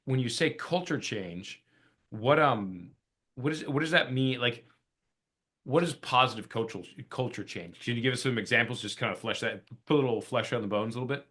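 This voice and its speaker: A man's voice: medium pitch, lively intonation, normal speed, a bit bright. A man hosting an interview, affirming his guest and thinking aloud as he asks questions. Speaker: podcast host and coach. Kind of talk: casual interview conversation.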